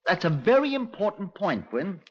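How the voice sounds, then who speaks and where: An actor playing a dry, authoritative middle-aged Scotland Yard inspector with a British accent in a 1950s radio drama. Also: male voice, high-pitched, sing-song, moderate pace, slightly rough, exaggerated voice. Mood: dry, wry. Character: measured, wry, authoritative male Scotland Yard police inspector. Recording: dull, lo-fi 1950s radio recording.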